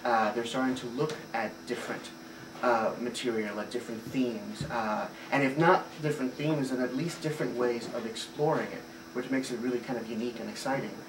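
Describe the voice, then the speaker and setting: A man from Puerto Rico speaks as a theater director, thoughtful and fluent, pausing on small fillers as he builds his ideas. His voice sits in a middle range and is picked up from a distance. The sound has a roomy echo and a clear wash of background noise. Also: medium pitch, steady tone. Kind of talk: reflective interview answers. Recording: roomy, distant mic, noisy ambience.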